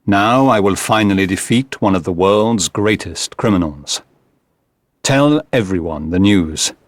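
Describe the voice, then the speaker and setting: A man's voice: low voice, expressive, measured pace, dry recording. A man voicing a brilliant, composed English detective reading a solemn farewell letter in an audiobook. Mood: composed resolve.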